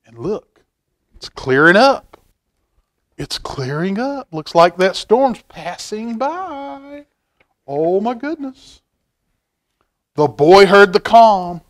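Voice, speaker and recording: A middle-aged man doing a library storytime, reading a picture book and chatting to children in an expressive, folksy manner, repeating phrases for emphasis. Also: high-pitched, slow pace, pauses often. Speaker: library storytime reader, stand-in host. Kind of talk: animated picture-book read-aloud with asides to children. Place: indoor library room, seated at a table.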